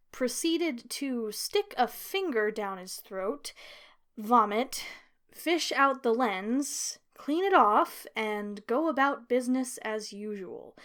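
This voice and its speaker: Young female podcast host with a somewhat high pitch, easygoing delivery. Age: 20s. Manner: relaxed, laughs often, filler words in chat. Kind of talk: scripted narration and casual chat.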